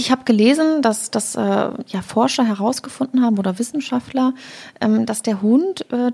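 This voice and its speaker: A woman's voice: a little high, vocal fry, warm tone, husky. A woman hosting a podcast, asking interview questions with many fillers. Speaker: podcast host.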